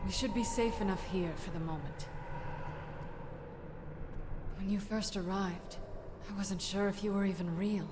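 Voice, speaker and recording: A woman's voice: mid-range voice, steady tone, normal speed, background noise, natural voice. A woman playing a resolute, troubled human mage leader in a fantasy video game, earnest and commanding. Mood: grave, troubled. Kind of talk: video game drama acting. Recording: loud music behind.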